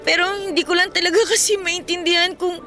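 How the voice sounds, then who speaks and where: A woman's voice: very high voice, level tone, fast, pushed and bright, soft background music. A woman acting a desperate, imploring teenage student pleading with her boyfriend in an emotional radio drama. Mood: tearful, hurt.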